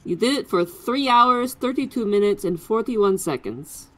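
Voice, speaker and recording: A literal, exacting construct in a tabletop game, played by a woman. The pitch swings widely while the wording stays pedantic and matter-of-fact. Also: animated, normal speed, fairly bright tone, natural voice, low-quality recording. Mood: precise, pedantic. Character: literal-minded, matter-of-fact construct without nerves, T-Wig. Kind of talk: tabletop roleplay in character.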